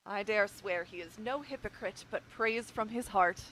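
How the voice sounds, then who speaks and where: A woman's voice: medium pitch, normal speed, fairly bright tone, a little hiss or hum, lightly acted, rough recording. A woman playing a genial, commanding prince with a lordly, courteous manner in a Shakespearean radio comedy. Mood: gracious.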